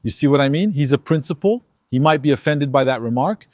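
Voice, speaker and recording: A man's voice: fairly high pitch, fairly quick, no room sound, clean studio sound. A man from Algeria giving an emphatic opinion monologue to his viewers, acting out dialogues. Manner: animated, emphatic, acts out dialogues. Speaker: commentator.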